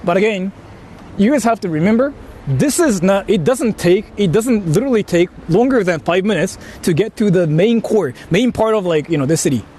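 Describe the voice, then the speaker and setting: Male streamer, Japanese accent, higher-pitched voice, animated and repetitive casual chat, some outdoor street noise. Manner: animated, repetitive, halting phrasing. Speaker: live-streamer. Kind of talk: casual livestream chat to viewers. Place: outdoors in a city.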